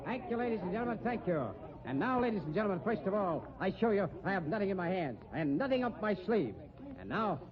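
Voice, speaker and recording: An actor playing a tough, slangy, commanding sideshow magician and boss in a 1950s radio drama. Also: male voice, high voice. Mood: showy patter. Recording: muffled, noisy 1950s radio recording.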